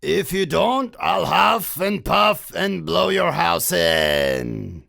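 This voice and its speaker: Storybook villain: a hungry wolf, heavy growl, slow menace, wildly swooping delivery. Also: male voice, sits high, very animated, sharp tone, no echo, studio quality, full character voice. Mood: menacing. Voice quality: gravelly, growling. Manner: slow, drawn-out threats. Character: hungry menacing big bad wolf, male. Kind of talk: children's storybook character acting.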